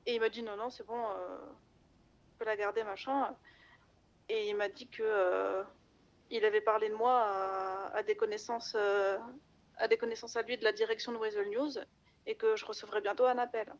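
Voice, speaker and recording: A woman telling stories casually in an online roleplay, chatty, slangy and halting, over game voice chat. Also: mid-range voice, fairly even intonation, highs cut off. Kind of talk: casual in-character storytelling.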